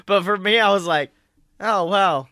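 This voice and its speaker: A man with a high, intensely bright voice hosts a casual chat show about games. He talks in animated, slangy bursts and jokes readily. The sound is close and dry. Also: measured pace, no room sound. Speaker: podcast host.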